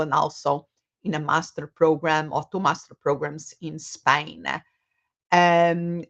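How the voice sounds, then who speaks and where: Woman guest from Portugal; low, unhurried, thoughtful, on a muffled call. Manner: reflective, many pauses and fillers. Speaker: guest, psychologist and coach. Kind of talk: interview answers.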